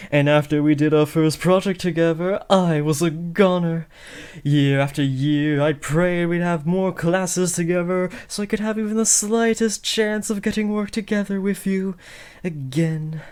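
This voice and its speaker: A man's voice: sits high, steady tone, unhurried, a little mellow, smooth. A young man playing an awkward, lovestruck teenage would-be supervillain in a comedic audio roleplay, switching between theatrical menace and hesitant rambling. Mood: earnest, nervous. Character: awkward lovestruck teenage boy, aspiring supervillain, eager, insecure. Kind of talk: comedic roleplay audio drama addressed to the listener.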